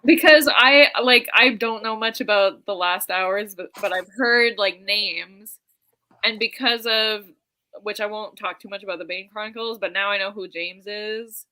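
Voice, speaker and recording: A woman chatting casually as co-host of a book discussion, giggly and halting, often breaking off to ask questions. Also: medium pitch, pushed and bright, poor audio. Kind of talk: casual chat.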